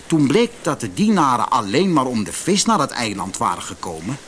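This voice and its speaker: A man reading a fairytale as an expressive audiobook narrator. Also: medium pitch, brisk, a little hiss or hum.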